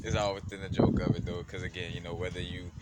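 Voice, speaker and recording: A man's voice: low-pitched, little pitch movement, fast talker. A man from Louisiana in a casual, rambling vlog monologue, full of fillers and laid-back slang. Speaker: spiritual vlogger. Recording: loud background noise.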